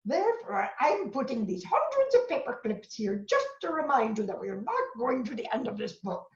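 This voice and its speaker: A woman voicing a frantic, blustering furry male monster in an animated children's read-aloud, melodramatic and pleading. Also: sits high, moderate pace. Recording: roomy, dull lo-fi sound.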